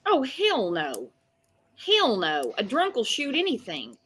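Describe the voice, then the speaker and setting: A woman's voice: sits high, huge pitch swings, slow, a bit bright, slightly rough. A woman co-hosting a live true-crime chat show, talking in a blunt, emphatic, colloquial way. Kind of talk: casual opinionated chat.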